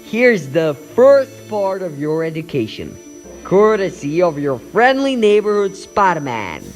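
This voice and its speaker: Guy voicing a quippy young superhero, comic dub, bouncy pitch, music behind him. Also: a little slow. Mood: cocky, teasing. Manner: quippy, animated, thinking aloud. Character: plucky wisecracking young male superhero.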